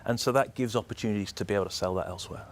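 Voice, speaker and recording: A man with a British accent giving measured, explanatory answers as an executive being interviewed. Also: on the low side. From United Kingdom. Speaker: energy system operator executive, interview guest.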